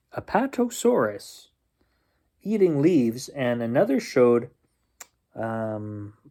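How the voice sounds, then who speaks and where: A man reading a children's picture book aloud as a bedtime storyteller, expressively and with drawn-out suspense. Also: medium pitch, darkish tone.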